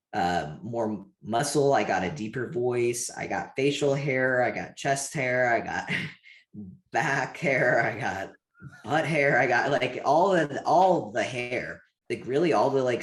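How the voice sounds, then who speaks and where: A man of around thirty talking informally and candidly as an interview guest, often dropping in "like". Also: medium pitch. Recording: roomy, echoey sound.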